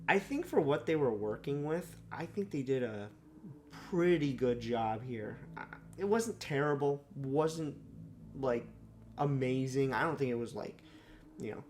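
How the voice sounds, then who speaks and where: A young man with a slightly sore, raspy throat, giving unscripted first impressions of a movie, rambling with lots of fillers and self-corrections. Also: medium pitch. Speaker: movie reviewer.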